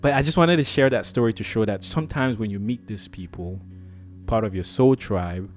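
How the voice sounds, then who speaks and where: A man from Cameroon hosting a podcast, telling a personal story in a lively, casual way and acting out the dialogue. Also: fairly quick, faint music behind. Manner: animated, acts out dialogue with swings in pitch. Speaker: podcast host.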